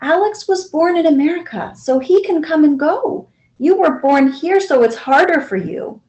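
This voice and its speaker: A woman voicing a loving old Mexican grandmother, gentle and sorrowful, in a storybook. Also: high voice, lively intonation, normal speed, voice pushed up. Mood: gentle, explaining.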